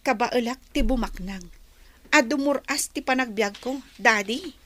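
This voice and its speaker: Woman playing a high-pitched, headstrong, envious daughter. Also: measured pace, pushed and bright, no echo. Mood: determined. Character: headstrong, envious daughter dreaming of riches abroad. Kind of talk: radio drama acting.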